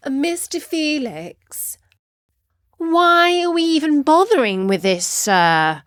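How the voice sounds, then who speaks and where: An exasperated storybook schoolgirl, acted by a woman, questioning and challenging. The delivery is lilting and animated, close and dry. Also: sits high, slow pace, no room sound, pitched above the performer's own voice, full character voice. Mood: curious, frustrated. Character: frustrated, questioning schoolgirl pupil.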